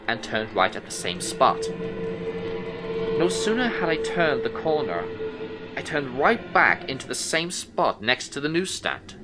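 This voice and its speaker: A man playing a troubled detective who narrates an eerie horror audio drama in the first person, in a measured, brooding way. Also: mid-range voice. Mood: baffled. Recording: music under the voice. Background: music soundtrack.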